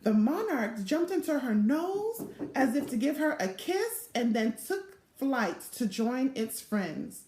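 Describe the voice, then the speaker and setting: A middle-aged woman reading a children's storybook aloud in a deliberate, expressive way, recorded in a small room. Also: high-pitched. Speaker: volunteer storybook reader. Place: small indoor room or home office. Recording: roomy, echoing home webcam recording.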